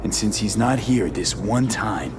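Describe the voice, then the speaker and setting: An actor playing a weary, tough mercenary in a cyberpunk game, speaking in clipped street talk. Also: male voice, lowish voice, lively intonation, unhurried, over music. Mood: tense, determined. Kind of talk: video game voice acting.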